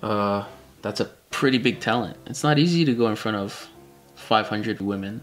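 A man in his late twenties, relaxed and teasing, chatting casually beside his fiancée. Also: lowish voice, normal speed, soft background music. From Argentina. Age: 20s. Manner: teasing, relaxed, laid-back storytelling. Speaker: fiancé and guest. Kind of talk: casual chat. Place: small indoor room in front of a plain wall.

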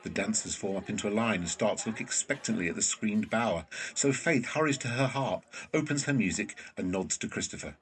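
A man's voice: fairly low pitch, fairly quick, few pauses, rough recording. A man narrating an audio drama from a script as storyteller.

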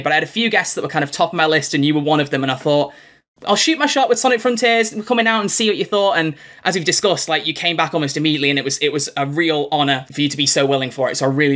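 A man's voice: fairly high pitch, rapid-fire, bright, forceful tone. A young man hosting a podcast interview from home, chatty, effusive and rambling as he talks to a guest. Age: young adult. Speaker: podcast host. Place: small home room, video call.

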